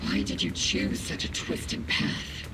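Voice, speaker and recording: An actor playing an earnest, commanding soldier comrade in a video game, speaking with urgency. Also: male voice, slow, very noisy, natural voice. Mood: pleading, grave. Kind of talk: dramatic video game voice acting. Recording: game dialogue under loud music.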